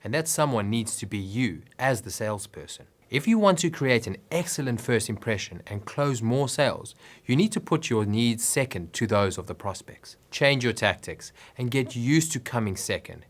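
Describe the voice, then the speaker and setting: A man's voice: mid-range voice, expressive, normal speed, hardly any pauses. A man reading scripted business advice narration in an instructive way with emphatic phrasing.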